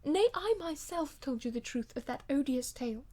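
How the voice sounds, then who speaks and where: Audiobook role: woman reader as young aristocratic wife, high voice, proud yet imploring, vehement, old-fashioned diction. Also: animated, moderate pace, a little mellow. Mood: vehement, wounded. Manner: vehement, pleading, theatrical old-fashioned speech. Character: proud, impassioned young noblewoman pleading with her husband.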